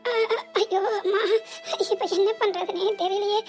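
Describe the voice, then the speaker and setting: A woman voicing a fallen old woman who groans, wails and pleads for help in an animated story. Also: very high voice, measured pace, faint music behind. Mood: wailing. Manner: wailing, pleading and groaning in pain.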